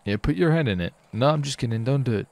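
Gravelly man with casual gamer chatter. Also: mid-range voice, expressive, quick pace, darkish tone, a little hiss or hum. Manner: frequent swearing and exclamations. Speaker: gaming streamer. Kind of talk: casual in-game roleplay commentary.

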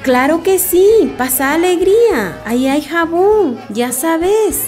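A woman's voice: very high-pitched, animated, slow, exaggerated voice. A woman playing a chatty, anxious village woman in a radio soap opera. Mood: concerned, friendly. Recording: radio drama with music underneath.

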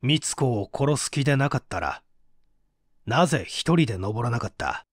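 An actor playing a stern prosecutor who questions a suspect formally and with authority in a mystery audio drama. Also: male voice, mid-range voice, lively intonation, normal speed, dry recording, studio quality, lightly acted. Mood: probing, stern. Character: probing, formal male prosecutor interrogating a suspect. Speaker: voice actor reading a story.

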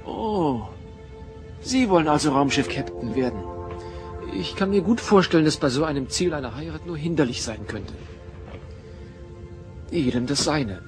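An earnest young doctor in a radio play acted by a man, eager and very lively in pitch. Loud music runs behind him. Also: close to an everyday voice. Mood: eager, pointed. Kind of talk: German radio play acting.

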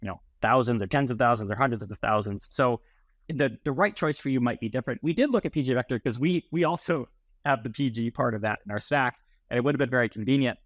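A man's voice: mid-range voice, quick pace, no room sound. Man explaining, close dry sound. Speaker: interview guest, software founder. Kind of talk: explanatory technical interview. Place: small plain room indoors, video call. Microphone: earbuds on a video call.

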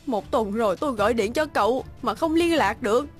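Woman acting a scolding middle-aged woman, higher-pitched. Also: animated, brisk, soft background music, lightly acted. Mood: scolding, upset. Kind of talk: dubbed cartoon moral tale.

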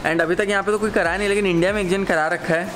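Higher-voiced young Indian man vlogging over loud noise. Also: fairly even intonation, very fast. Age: young adult. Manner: mixes English words into Hindi. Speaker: motorbike vlogger. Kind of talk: casual vlog chat. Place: covered open-air home driveway. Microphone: handheld camera at arm's length. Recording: loud outdoor street noise.

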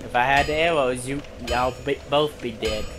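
A man's voice: mid-range voice, normal speed. A young adult man in casual gaming chat with a friend, playful, boastful and given to exclamations. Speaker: gamer playing with a friend. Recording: clear game music behind voice chat. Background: game music, metal clinking.

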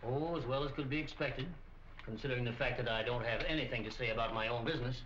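Man acting a stern, fast-talking older father. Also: medium pitch, fairly even intonation, fairly bright tone, a little hiss or hum, close to an everyday voice. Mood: disapproving. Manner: indignant, formal diction. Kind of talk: 1940s film acting. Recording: muffled old 1940 film soundtrack.

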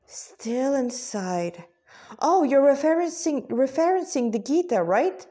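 A woman reads as a young warrior son in a story. He is eager and questioning, with a high voice and a curious, hesitant way of putting things. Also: very animated, takes it slowly, warm tone, full character voice.

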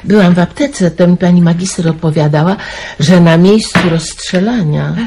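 An actress playing a weary, kindly middle-aged aunt in a wartime radio drama, sighing and resigned. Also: female voice, fairly low pitch, normal speed, background noise, natural voice. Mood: gossiping. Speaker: aunt raising her niece.

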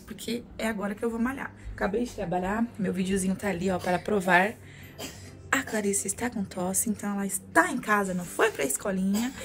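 A young woman with a Brazilian accent chatting casually to the camera as a vlogger, addressing viewers with pet names. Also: mid-range voice. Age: young adult. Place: small rooms at home, indoors. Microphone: phone at arm's length. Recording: noisy handheld home recording.

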